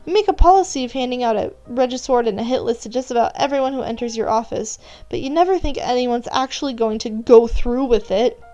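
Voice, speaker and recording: A woman's voice: on the high side, fast, hardly any pauses, warm tone, dull audio. A woman reading scripted second-person story narration, addressing the listener as the character. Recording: music playing behind. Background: background music.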